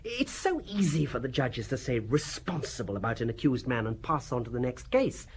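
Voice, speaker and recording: An actor playing a courteous, obsessive middle-aged gentleman of the law in an audio drama, talking in an eager, effusive, theatrical way. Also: medium pitch, big pitch swings, normal speed, slight background noise. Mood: effusive, fervent.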